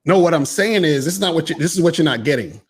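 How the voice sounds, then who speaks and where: A man's voice: on the high side, lively intonation, fast talker, breathy edge. A man in casual debate, pressing the same point over and over with rephrasing and restarts. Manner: insistent, repeats himself. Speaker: dating coach. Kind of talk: argumentative casual chat.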